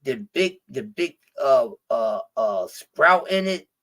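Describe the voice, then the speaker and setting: A man's voice: mid-range voice, animated, slow, a bit bright. Rambling, cussing Philly man. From Philadelphia. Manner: profane, rambling, with many restarts. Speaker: stream guest. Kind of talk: casual chat.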